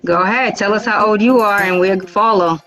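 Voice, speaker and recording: A woman's voice: medium pitch, brisk, a bit bright, soft background music, rough recording. A woman in her thirties hosting a casual group video call, upbeat and chatty, stretching out her words. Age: 30s. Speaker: host.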